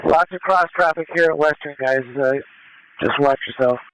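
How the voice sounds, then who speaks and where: A man calling a police pursuit over the radio, directing units in a measured, matter-of-fact way. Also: fairly high pitch, a bit bright, a little hiss or hum, poor audio. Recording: live police scanner radio transmission.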